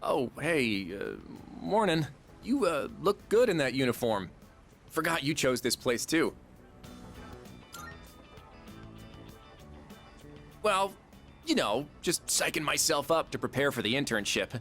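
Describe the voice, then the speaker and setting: Game role: hapless slacker schoolboy, slangy, rambling, lively intonation. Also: male voice, fairly high pitch, normal speed. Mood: sheepish. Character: goofy, hapless teenage schoolboy slacker, pleading with a friend. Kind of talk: casual video game dialogue. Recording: music under the voice.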